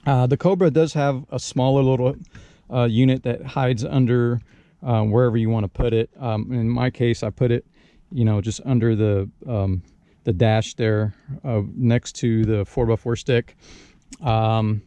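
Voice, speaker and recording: A man talks through a product casually and without a script, as if hosting his own channel. His voice is mellow and relaxed, at an average pitch, recorded with very little room sound. He feels his way through sentences, doubling back on words as he goes. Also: moderate pace, dry recording. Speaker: product reviewer and channel host. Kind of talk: casual unscripted product walkthrough.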